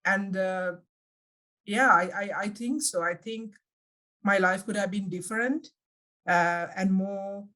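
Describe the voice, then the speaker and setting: A woman from Sri Lanka, a guest in an interview, giving reflective answers and often pausing to find her words. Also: medium pitch, fairly even intonation, pushed and bright. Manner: thoughtful, pauses often to search for words.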